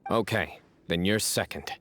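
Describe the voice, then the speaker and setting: A man playing a stern, dignified young general with a serious, measured manner in an anime video game. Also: low-pitched, fairly bright tone. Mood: resolute. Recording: music clearly behind the voice.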